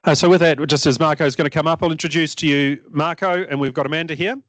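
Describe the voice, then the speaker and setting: A man's voice: fairly high pitch, steady tone, quick pace, fairly bright tone, no echo, poor audio. A man hosting a meetup, introducing the speakers and upbeat as he urges the crowd to ask questions. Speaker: meetup host. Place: meetup room with a live audience.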